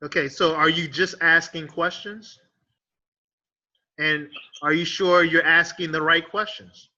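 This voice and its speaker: A man moderating an online teachers' discussion, animated and stumbling over his first words, heard over a video call. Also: fairly high pitch, measured pace, highs cut off. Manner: animated, stammers on starts.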